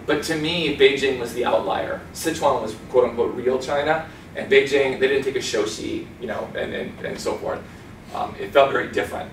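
A man's voice: mid-range voice, moderate pace, slight background noise. A man in his forties giving an informal, chatty talk with slides, gesturing and mimicking voices as he tells stories. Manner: chatty and animated, gestures a lot, mimics accents. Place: small conference room. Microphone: far camera. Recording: roomy sound from a far camera.

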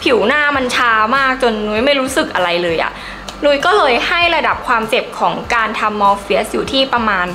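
Bright, chatty young woman vlogger. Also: a little high, lively intonation, a little fast, faint music behind. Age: young adult. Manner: chatty, enthusiastic, emphatic. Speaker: beauty vlogger. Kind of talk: casual review to camera.

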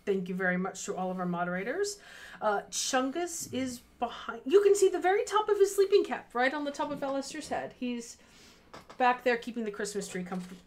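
A woman's voice: fairly high pitch, expressive, fairly quick. A woman in her thirties co-hosting a charity game stream from home on a headset mic, chatty and easily distracted. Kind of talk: casual livestream chat. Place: home room with fairy lights behind.

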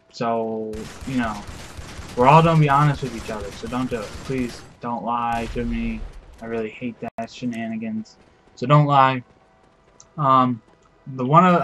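A young man with a hoarse, strained voice lays out rules for his online crew. He rambles and pleads, fills gaps with "um" and "like", and swears now and then. His pitch sits in the middle, and faint music plays behind him. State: losing his voice. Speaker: crew leader giving rules. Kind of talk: casual rambling talk over gameplay.

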